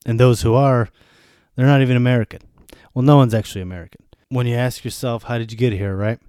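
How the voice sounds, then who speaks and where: A man's voice: medium pitch, fairly even intonation, fast, darkish tone, clear, resonant tone, no echo. Dry-voiced thirty-year-old man venting sardonically. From born in Germany to Bosnian refugees, raised in the US. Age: 30s. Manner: venting, sardonic, swears freely. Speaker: podcast host. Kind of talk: candid solo podcast monologue.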